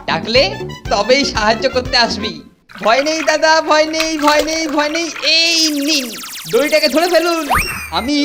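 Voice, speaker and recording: A woman voicing a bossy, boastful teenage boy in a cartoon who talks in a pompous way. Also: sits high. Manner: bossy, pompous, quick to panic. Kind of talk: animated cartoon dubbing. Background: cartoon background music.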